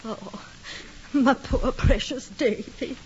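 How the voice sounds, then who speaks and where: An actress playing a kind, plump housemaid who speaks slowly and tenderly in a 1950s radio drama. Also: female voice, fairly high pitch. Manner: slow, tender, homely. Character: plump kindly old-fashioned housemaid. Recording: dull lo-fi vintage radio recording with hiss.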